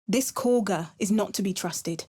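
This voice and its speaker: A woman's voice: medium pitch, a little slow, dark tone, husky. A woman voicing a wry, resolute female adventurer in a video game, making dry understated remarks and narrating. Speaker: player character.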